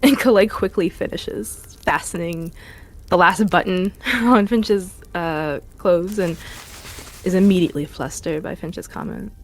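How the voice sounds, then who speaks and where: A woman's voice: on the low side, a little slow. A woman improvising casual narration of their character's actions as a player in a tabletop role-playing game.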